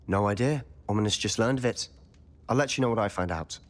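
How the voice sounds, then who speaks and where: A man as a brooding, low-voiced young wizard. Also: a little fast, close to an everyday voice. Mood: exasperated, hopeful. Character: brooding, persuasive teenage wizard schoolboy guarding secrets. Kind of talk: video game cutscene acting. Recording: long hall-like reverb.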